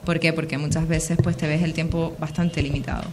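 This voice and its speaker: Woman in her thirties, Canarian accent, lowish voice, hesitant interview answers, background noise. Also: level tone, fairly quick. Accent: Canarian Spanish. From Lanzarote, Canary Islands, Spain. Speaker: local politician, youth councillor being interviewed. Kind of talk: informal radio interview answers.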